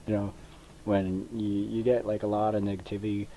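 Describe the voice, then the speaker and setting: A man's voice: fairly low pitch, little pitch movement, normal speed, mellow, a little hiss or hum, poor audio. A young man from Mexico chatting casually over his gameplay, rambling and hesitant with many fillers, on a cheap computer mic. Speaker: gaming video creator. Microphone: cheap computer microphone. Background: controller clicks.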